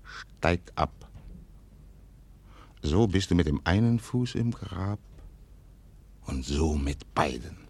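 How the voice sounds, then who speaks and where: Very deep, slow, stern aristocratic commander. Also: male voice, animated, gravelly, breathy edge, full character voice. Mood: brooding, grave. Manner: grave, measured, declamatory. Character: stern, calculating, commanding aristocratic father and military governor. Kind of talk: 1940s German radio play acting.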